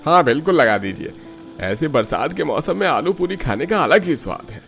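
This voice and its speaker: Give the cartoon customer a high-pitched male voice with very lively swings. He speaks in a colloquial, exclaiming way, like an everyday haggler at a food stall. Music plays behind him. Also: normal speed, creaky, full character voice. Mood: pleased, curious. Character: haggling, complaining male street-food customer who warms up to good food. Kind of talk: Hindi moral-story cartoon dub.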